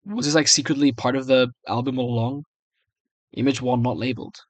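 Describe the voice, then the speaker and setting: A young adult man with a medium-pitched voice talks casually at his computer in a small, dry-sounding room. He rambles and hesitates, muttering his reactions to himself as he goes. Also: fairly even intonation, fairly quick, dry recording. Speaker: video creator. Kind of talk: casual screen-recorded software try-out commentary.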